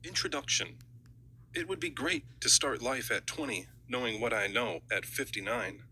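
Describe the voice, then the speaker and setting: A male audiobook narrator with a mid-range voice reads from a script. His tone is very bright and sharp, with a forceful edge. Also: medium pitch, animated, normal speed, slight background noise.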